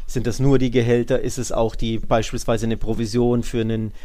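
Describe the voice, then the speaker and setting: A man's voice: medium pitch, little pitch movement, fairly quick, mellow. A man co-hosting a football podcast, talking colloquially, often questioning and correcting himself. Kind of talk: casual football discussion.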